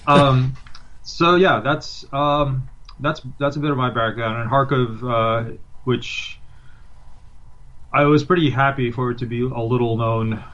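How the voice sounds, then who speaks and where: A man's voice: medium pitch, fairly even intonation, a little slow, a bit bright, dull audio. A man from Ukraine, a writer appearing as a guest, answering questions hesitantly with many ums and uhs. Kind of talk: interview answers.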